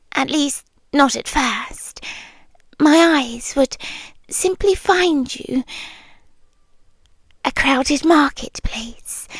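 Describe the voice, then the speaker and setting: Casting: woman to play obsessively devoted non-human girlfriend, British, high soft voice, unhurried, sliding into whispers, ASMR roleplay. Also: dry recording, heavily acted. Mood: wistful, confiding. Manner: soft, breathy, slow and deliberate, often dropping to a whisper. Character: possessive, obsessively devoted female tailed creature girlfriend. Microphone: close, dry ASMR microphone.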